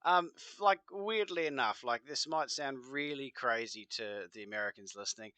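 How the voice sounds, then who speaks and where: A man's voice: medium pitch, normal speed, pushed and bright, no room sound. A man, rambling and swearing as he hosts a casual reaction video. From New Zealand. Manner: rambling, exclamatory, swears freely.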